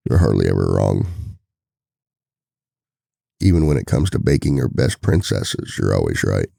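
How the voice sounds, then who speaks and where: A man playing a devoted, worried single-dad boyfriend in an intimate ASMR roleplay, confiding softly with a gravelly voice. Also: sing-song, normal speed, no room sound, studio quality, heavily acted. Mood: affectionate, soothed. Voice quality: very deep, gravelly.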